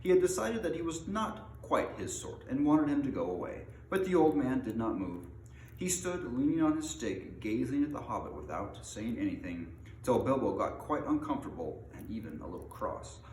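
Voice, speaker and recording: A man reading a story aloud in an expressive storybook narration style. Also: medium pitch, normal speed. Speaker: reader of an audiobook. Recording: roomy echo, faint background noise.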